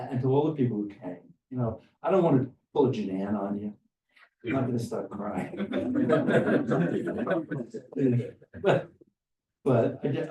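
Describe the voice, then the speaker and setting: An older man giving informal, rambling remarks to a gathering, often halting and restarting his phrases. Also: medium pitch, warm tone. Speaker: academic philosopher and speaker at a conference. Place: echoey room at a conference. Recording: roomy, distant microphone, faint background noise.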